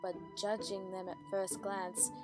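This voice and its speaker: A woman's voice: mid-range voice, monotone, unhurried, over loud music, low-quality recording. A woman reading scripted story narration as the narrator of a dramatic reading.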